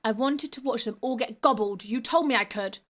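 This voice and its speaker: A woman's voice: a little fast, fairly bright tone. A woman voicing a grumpy, whiny little male goblin ghost prince in a storybook reading. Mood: whiny, indignant. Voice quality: whining, high and shrill.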